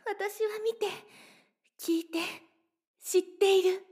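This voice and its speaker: Very high-voiced obsessive lovestruck girl. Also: fairly even intonation, slow, warm tone. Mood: obsessive adoration. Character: obsessively lovestruck young woman, possessive, sweetly menacing captor. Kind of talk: Japanese anime-style voice drama acting.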